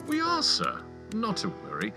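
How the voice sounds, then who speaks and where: A man's voice: high-pitched, huge pitch swings, moderate pace, gravelly, bright, forceful tone, faint music behind, heavily acted. A man playing a nervous, two-faced clerk with a short fuse in a comedic radio play, stammering and fawning. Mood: evasive, stammering.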